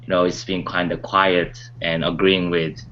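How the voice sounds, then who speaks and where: Fairly low male co-host, muffled audio. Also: level tone, moderate pace, a bit bright. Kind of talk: casual panel chat. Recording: dull audio with background noise.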